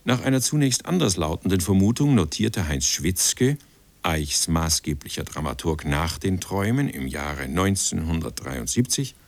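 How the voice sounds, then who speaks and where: A man reading scripted documentary narration in a sober, measured manner. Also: sits low, very animated, no echo.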